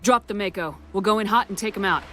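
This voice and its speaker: A woman's voice: mid-range voice, quick pace, a bit bright, lightly acted. A woman playing a composed, decisive military commander in a video game, with a direct and controlled way of speaking. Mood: urgent, commanding. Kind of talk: video game dialogue acting. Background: music.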